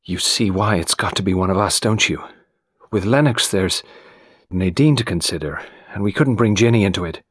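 A man's voice: fairly low pitch, animated, a little fast, slightly rough, no echo, clean studio sound. Man acting a tense, desperate young plotter. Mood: cool, steady. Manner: intense, nervous tremor under matter-of-fact firmness.

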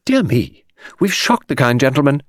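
Guy doing a sassy teen actress girl, high and bouncy, all over the place. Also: big pitch swings, moderate pace, dry recording, clean studio sound, natural voice. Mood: teasing. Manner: chattering, teasing, theatrical. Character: impudent cheeky young woman, teenage actress.

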